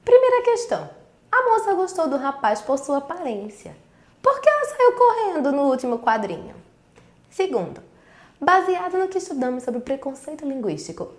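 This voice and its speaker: A woman teacher with a Northeastern Brazilian accent explains earnestly and speaks directly to her pupils. Her voice sits a little high, and the recording has a roomy echo. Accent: Northeastern Brazilian, Pernambuco. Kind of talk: recorded school video lesson. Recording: echoey room.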